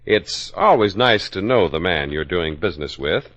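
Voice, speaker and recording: Casting: American man, vintage radio ad announcer, lowish and lively, folksy polish, muffled sound. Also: normal speed. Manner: folksy, polished salesman delivery. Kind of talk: scripted 1940s radio advertisement narration. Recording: dull old radio recording.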